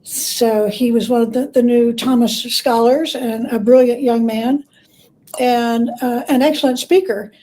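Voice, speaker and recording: Woman, higher-pitched, soft mellow tone, conversational expert interview, halting search for words. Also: steady tone, poor audio. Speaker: guest expert, biographer and scholar. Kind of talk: conversational interview, explaining history.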